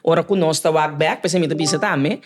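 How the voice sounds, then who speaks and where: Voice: mid-range voice, slight background noise. Curaçaoan podcast guest, hesitant delivery, mid-range voice. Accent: Curaçao Papiamentu. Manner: halting, searching for words. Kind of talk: casual podcast conversation.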